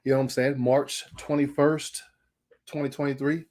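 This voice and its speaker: A man's voice: medium pitch, little pitch movement, moderate pace, darkish tone. A man hosting a daily online show in casual livestream chat, loose and slangy, laughing often, his throat a little scratchy. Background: faint music.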